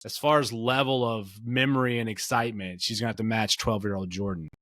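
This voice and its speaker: Rambling man in his thirties, low voice. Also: steady tone, a little fast, fairly bright tone, no room sound. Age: 30s. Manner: rambling, many fillers and restarts. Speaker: podcast co-host. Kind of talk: casual chat.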